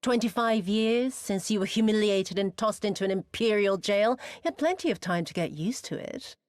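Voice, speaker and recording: An actress playing a cruel, vengeful woman in a video game, mocking and imperious, with a theatrical, drawn-out delivery that sinks into breathy whispers. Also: medium pitch, no room sound, clean studio sound, close to an everyday voice. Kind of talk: dramatic video game cutscene acting.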